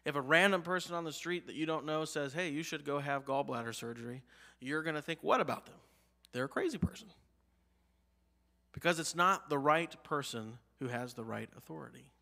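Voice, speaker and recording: A fairly high, bright-voiced American man in his thirties delivers a sermon into a pulpit mic. His delivery is earnest, climbing on key words and sinking to a hushed, almost whispered finish at the end of lines. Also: brisk, vocal fry. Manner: earnest preaching, rises on key phrases, drops low and soft at line ends. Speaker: pastor. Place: church sanctuary, behind a pulpit. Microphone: pulpit mic, medium-wide camera.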